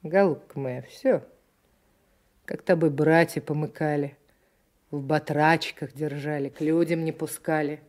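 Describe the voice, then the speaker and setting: A woman's voice: sits low, steady tone, unhurried, darkish tone. An actress playing a chatty older village woman in a radio drama, folksy and emphatic, by turns scolding and motherly. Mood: knowing, confiding.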